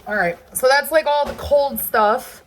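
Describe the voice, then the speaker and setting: A woman's voice: high voice, measured pace, background noise. A woman with a Southern US accent, sniffly from allergies, chatting casually and rambling as she shows off her groceries. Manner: chatty and rambling, with loose fillers and asides. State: hot and sweaty. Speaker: vlogger showing a grocery haul. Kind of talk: casual chat.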